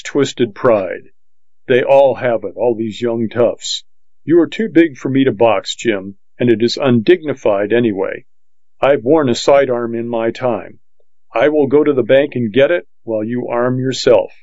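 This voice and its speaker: A man voicing a stiff, pompous rich gentleman who speaks formally and lectures with scorn. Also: medium pitch, a little slow, no echo, lightly acted. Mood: scornful. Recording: dull, lo-fi dry audiobook recording.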